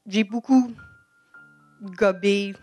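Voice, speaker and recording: A woman with a Quebec French accent, English-speaking by upbringing, giving a halting, shy personal faith testimony at a church microphone. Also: medium pitch, fairly even intonation, darkish tone, dry recording, faint music behind. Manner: halting, shy, many restarts. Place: church service. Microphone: microphone before an audience.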